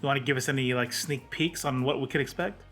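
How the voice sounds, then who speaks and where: Bright-voiced man hosting a casual interview. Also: medium pitch, fairly even intonation, brisk, soft background music. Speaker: podcast host, interviewer. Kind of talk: casual chat.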